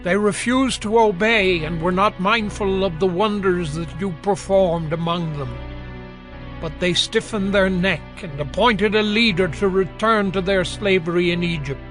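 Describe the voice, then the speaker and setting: Man playing a slow, high-voiced reverent Levite. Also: fairly bright tone. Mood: grave confession. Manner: slow, measured, reverent declaiming. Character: solemn Levite leading a reverent public prayer of confession. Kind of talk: dramatized scripture reading, declamatory prayer. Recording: music bed under the voice.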